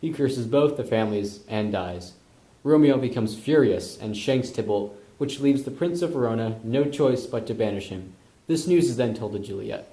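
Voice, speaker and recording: A man's voice: mid-range voice, animated, moderate pace, dark tone. A man narrating a comic storybook script with casual slang, recorded in an echoey room.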